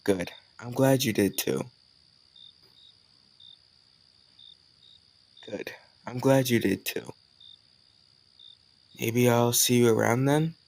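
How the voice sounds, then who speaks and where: A man acting a warm, teasing young suitor in a romantic audio roleplay, talking casually and intimately to the listener. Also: medium pitch, measured pace, vocal fry. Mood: tender, reassuring. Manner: casual, gently teasing, conversational with soft chuckles.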